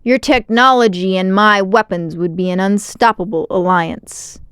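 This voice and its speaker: Bellowing male alien emperor, played by a woman, pompous, forceful, menacing, storybook villain, dry close sound. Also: medium pitch, fairly even intonation, clean tone, dry recording, close to an everyday voice. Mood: demanding. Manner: pompous, forceful, drawn-out proclamations.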